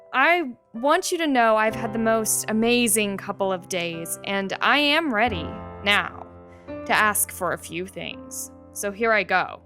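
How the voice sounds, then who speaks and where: A woman's voice: mid-range voice, pushed and bright. A woman playing an outspoken, caring but skeptical young girl in an audio play. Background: music.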